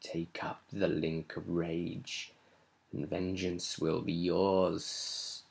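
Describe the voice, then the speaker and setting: A man voicing an ancient male rage demon who speaks in a low, urgent, sinister whisper. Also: flat delivery, extremely slow, creaky, exaggerated voice.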